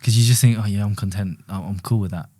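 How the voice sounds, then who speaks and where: A man's voice: fairly low pitch, steady tone, fast, warm tone. A man, co-hosting a podcast in laid-back, easily amused chat. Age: 30s. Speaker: podcast co-host. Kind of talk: casual chat.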